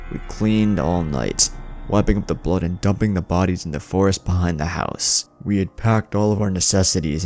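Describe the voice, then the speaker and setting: A man with a very deep voice delivers dramatic horror narration in the role of a haunted, lovestruck youth. His pitch moves expressively as he broods and halts for effect. Soft music plays behind him. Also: vocal fry. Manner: brooding, halting, with dramatic pauses. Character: haunted, lovestruck teenage boy confessing to crimes. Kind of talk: dramatic first-person scripted narration.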